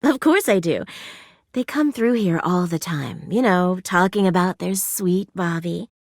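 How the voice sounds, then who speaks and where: A woman's voice: on the low side, animated, unhurried, no room sound, studio quality. A woman voicing a doting, motherly family friend in a video game, cooing and fond. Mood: tender, gently chiding. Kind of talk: video game character acting.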